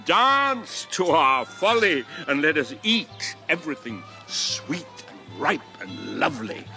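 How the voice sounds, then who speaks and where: A man's voice: a little high, big pitch swings, slow pace, sharp tone, soft background music, heavily acted. An actor playing an old former king and grandfather, a solemn, impassioned convert to a god, in a classical radio drama. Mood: ecstatic. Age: old, past retirement. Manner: solemn, impassioned, theatrical delivery.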